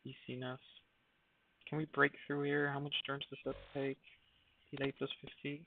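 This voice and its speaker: Gravelly man streaming, narrow phone-like sound. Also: mid-range voice, monotone, moderate pace, slight background noise. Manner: thinking aloud, hesitant, with fillers and laughs. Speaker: game streamer. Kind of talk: casual live gameplay commentary.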